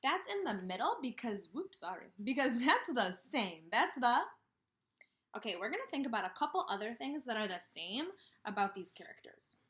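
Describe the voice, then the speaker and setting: A woman teaching kindergarteners through a read-aloud, speaking in simple, deliberate phrases and posing questions to the children. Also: a little high, big pitch swings, bright, forceful tone. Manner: questioning, pausing for children to answer.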